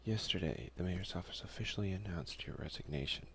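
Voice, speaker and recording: A man speaking softly, at times in a breathy half-whisper, in a casual, hesitant ASMR let's play on a close headset mic. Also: low voice, fairly quick. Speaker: gaming ASMR video creator. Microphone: close headset boom mic.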